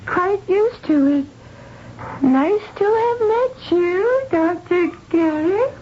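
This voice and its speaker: A sweet old lady with a very high voice and a slow, wry delivery. She is heard in a 1970s radio drama on a dull old recording. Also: lively intonation, slight background noise, full character voice. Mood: gentle, resigned. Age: older.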